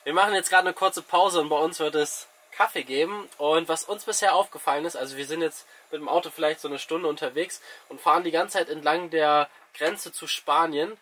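A man's voice: fairly high pitch, fairly quick, hardly any pauses, fairly bright tone, slight background noise. A man in his twenties chatting casually and enthusiastically to camera as a travel vlogger. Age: 20s. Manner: enthusiastic, colloquial. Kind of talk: casual vlog chat. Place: mostly inside a small camper van. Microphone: camera mic at arm's length.

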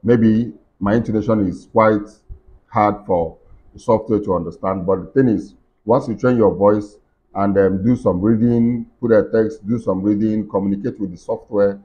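A middle-aged man with a deep voice, giving a step-by-step software tutorial at his computer with plenty of fillers. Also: fairly even intonation, moderate pace, husky. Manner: explains step by step with many fillers and restarts. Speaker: tech tutorial host. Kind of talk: screen-recorded software walkthrough. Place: indoor room at a computer desk. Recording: dull lo-fi screen recording.

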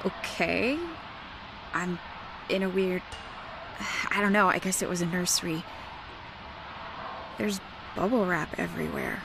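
An actress playing a frightened, defiant young woman in a horror game, given to breathy whispers and shaky muttering. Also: on the low side, fairly even intonation, a little slow, pauses often, noisy background. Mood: uneasy, casual. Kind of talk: naturalistic horror game acting.

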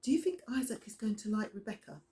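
A middle-aged woman reading a children's Bible story aloud as a storyteller, deliberate and expressive, recorded indoors in a small room. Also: medium pitch. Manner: deliberate, expressive storytelling with questions to young listeners.

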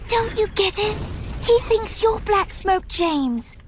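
An actress playing a bold, sharp-tongued young pirate woman, firm and sardonic, in a video game. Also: extremely high pitch, expressive, slow, a little hiss or hum, full character voice. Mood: exasperated. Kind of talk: video game cutscene acting.

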